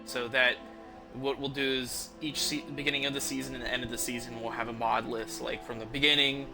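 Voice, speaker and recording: A man's voice: medium pitch, level tone, a little fast, low-quality recording. Casual male streamer over prominent music. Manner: rambling, informal, explanatory. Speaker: livestream host, gamer. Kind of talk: casual unscripted chat to stream viewers. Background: music behind the voice.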